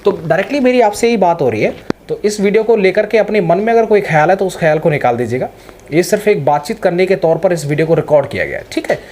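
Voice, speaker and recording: A man with a high-pitched voice gives informal, explanatory advice to students in a conversational way, often checking that they follow. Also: expressive, quick pace, a little mellow. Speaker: exam guidance presenter. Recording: clear background ambient noise.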